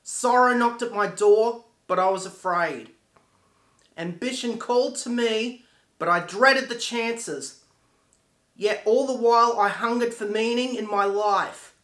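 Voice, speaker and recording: A man's voice: sits high, a little slow, fairly bright tone. A man playing a regretful dead man who recites a poetic monologue with solemn, declamatory delivery. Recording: echoey room.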